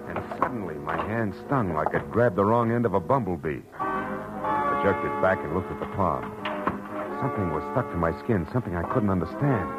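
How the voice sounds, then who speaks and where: Male actor as wry, hard-boiled American gumshoe, very low voice, clipped slangy delivery, vintage radio drama with music behind. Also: highs cut off, natural voice. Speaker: lead actor and narrator. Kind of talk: 1940s radio detective drama acting and narration. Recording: old radio broadcast with music.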